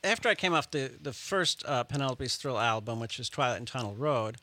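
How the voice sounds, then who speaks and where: A middle-aged man, a musician, chatting as a guest in a radio studio, relaxed and rambling with chuckles. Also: medium pitch, fairly quick, a little hiss or hum. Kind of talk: casual radio interview chat.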